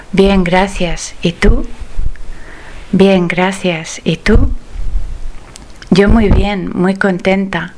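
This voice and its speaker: A woman teaching phrases, saying each one twice slowly and carefully as a language drill. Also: medium pitch, poor audio. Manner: slow, careful, clearly enunciated repetition.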